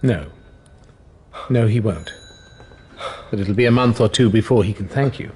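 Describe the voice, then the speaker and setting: Husky, matter-of-fact doctor. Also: male voice, on the low side, normal speed, a little mellow. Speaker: actor in a radio drama. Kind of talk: naturalistic radio drama acting.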